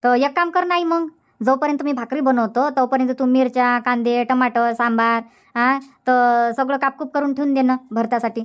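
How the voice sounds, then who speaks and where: Lady doing a high voiced chatty village homemaker, cartoon, varhadi marathi accent, kinda muffled audio. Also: steady tone, moderate pace, lightly acted. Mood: chatty, practical. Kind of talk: colloquial cartoon comedy dialogue.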